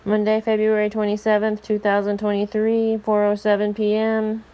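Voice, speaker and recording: A woman's voice: medium pitch, monotone, moderate pace, dark tone. A woman talking casually as she narrates an amateur weather vlog.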